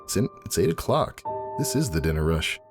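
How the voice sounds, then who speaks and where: A man voicing a concerned, gently insistent male cook pony in a dramatic story reading. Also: sits low, very animated, a little fast, loud music behind the voice.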